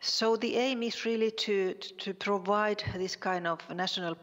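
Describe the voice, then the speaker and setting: A woman from Finland, giving a conference presentation in a halting way with many fillers and restarts. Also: mid-range voice, a bit bright, big-room sound. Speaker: conference speaker.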